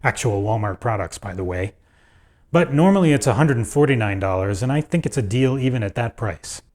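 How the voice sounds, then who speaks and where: A man's voice: medium pitch, lively intonation, a little fast, darkish tone. A man hosting a video, narrating a script about audio gear in a conversational, opinionated way with dry asides.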